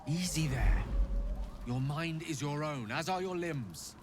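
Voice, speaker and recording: A man's voice: fairly high pitch, expressive, slow pace, a little hiss or hum. An actor playing a witty male wizard in a video game, speaking politely and articulately, with dry humor. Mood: urgent. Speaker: game character.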